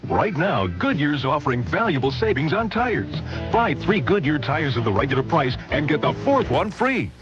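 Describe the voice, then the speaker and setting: Lively American male ad announcer over music. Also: a little high, normal speed, highs cut off. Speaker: radio commercial announcer. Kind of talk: upbeat scripted sales pitch.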